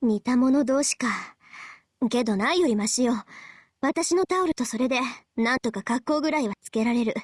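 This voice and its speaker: A woman voicing a brisk, practical, secretly caring teenage girl in a visual novel game. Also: fairly high pitch, animated, darkish tone, dry recording, studio quality.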